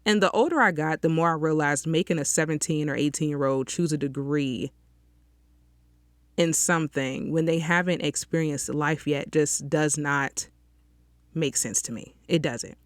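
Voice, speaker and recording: A woman's voice: low voice, normal speed, no room sound, studio quality. A young woman hosting her own podcast, chatting on her own from a small closet and often checking in with listeners with an "okay?". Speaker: podcast host. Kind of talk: casual solo podcast monologue. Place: small closet, outdoor sounds leaking in.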